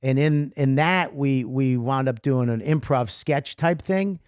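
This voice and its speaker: A man's voice: mid-range voice, measured pace, darkish tone, clear, resonant tone, dry recording, studio quality. A man from Boston with a Boston accent, a comedian guest telling his life story in a rambling, self-deprecating way. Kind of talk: interview storytelling.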